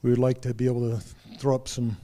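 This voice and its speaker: A man with a mellow, warm voice runs a club meeting in a room. His announcements are loose and rambling, full of small restarts. His pitch sits in the middle of the usual range. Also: quick pace. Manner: relaxed, rambling with fillers and restarts.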